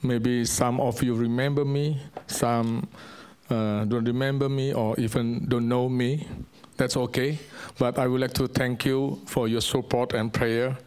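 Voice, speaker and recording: A man with an Indonesian accent speaks to a congregation as a visiting preacher. His pace is very slow and his English is simple and halting, as a second language. The pitch sits in an ordinary male range. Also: flat delivery, darkish tone, clear, resonant tone. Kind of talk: church sermon and ministry update.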